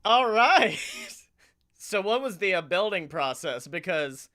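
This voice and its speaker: A man's voice: sits high, big pitch swings, takes it slowly, sharp tone. A man co-hosting a comedy podcast, chatting casually in a playful, teasing manner. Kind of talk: casual comic chat.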